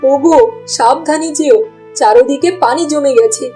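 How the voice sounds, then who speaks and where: A woman's voice: high voice, unhurried, warm tone, faint music behind. A woman voicing a worried, pious village farmer's wife in a melodramatic cartoon, with a plaintive, pleading delivery. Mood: gentle, devout.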